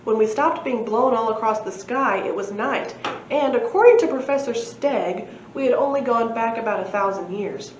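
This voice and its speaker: A woman's voice: fairly high pitch, animated, normal speed. A woman narrating a children's storybook as a library read-aloud. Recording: echoey room, muffled, faint noise.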